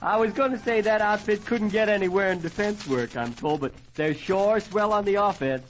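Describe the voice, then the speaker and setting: A man's voice: high voice, moderate pace, soft background music. A man with an American accent announcing an old-time radio show in folksy, theatrical cowboy slang. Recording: noisy old radio recording.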